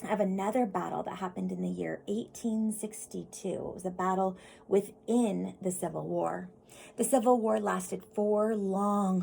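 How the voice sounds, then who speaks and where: American woman, noticeable vocal fry, teacher reading a children's book, simple explanations, questions to young listeners, slight background noise. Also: medium pitch, mellow, rough recording. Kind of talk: picture-book read-aloud with explanatory asides.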